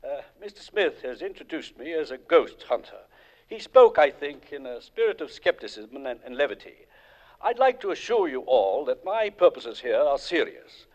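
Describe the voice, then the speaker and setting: A man's voice: on the high side, very animated, normal speed, darkish tone. An actor playing a serious, formal male psychic investigator, in 1940s radio acting style. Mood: solemn. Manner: grave, formal, portentous. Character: solemn serious male psychic ghost hunter. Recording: dull old 1940s radio recording.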